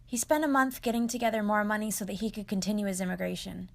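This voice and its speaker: A woman hosting, who reads her narration clearly and asks short, encouraging questions. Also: mid-range voice, fairly even intonation, fast. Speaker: host and interviewer. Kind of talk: scripted narration and casual interviewing.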